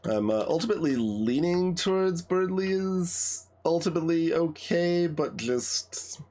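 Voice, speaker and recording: A man's voice: fairly high pitch, animated, measured pace, a little mellow. A man giving casual commentary on a game playthrough, musing and thinking aloud as he goes.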